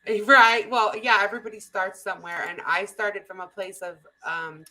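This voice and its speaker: Woman host with a bright, forward voice; agreeable, supportive chat tone. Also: medium pitch, moderate pace, low-quality recording. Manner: agreeable, laughs along. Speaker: channel host. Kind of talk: casual chat.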